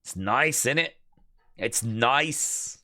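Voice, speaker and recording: Guy doing casual wrestling news commentary, bright punchy voice, dry mic, just chatting through clips. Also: medium pitch, expressive, very slow, no echo. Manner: chatty, informal, explains clips step by step. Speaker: host of a wrestling news show.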